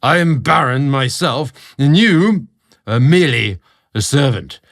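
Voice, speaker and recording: A man's voice: medium pitch, animated, unhurried, vocal fry, fairly bright tone, pitched above the performer's own voice. A man voicing a rotund, pompous, belligerent British baron in a storybook narration, barking imperiously. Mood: outraged, threatening.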